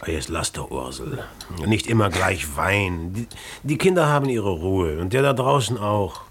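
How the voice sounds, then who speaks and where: An actor playing a debt-ridden, secretive and irritable village innkeeper in a 1950s German radio drama, agitated and repeating himself. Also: male voice, sits low, animated, moderate pace. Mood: troubled, defensive. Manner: agitated, repeating words, scolding and hushing. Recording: noisy 1950s mono radio play recording.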